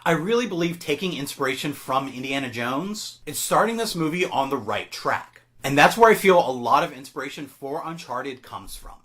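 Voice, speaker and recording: A man's voice: medium pitch, expressive, moderate pace, few pauses, fairly bright tone. A man hosting an online video, giving scripted, enthusiastic fan commentary to his viewers. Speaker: YouTube host. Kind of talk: scripted video commentary on film and game news.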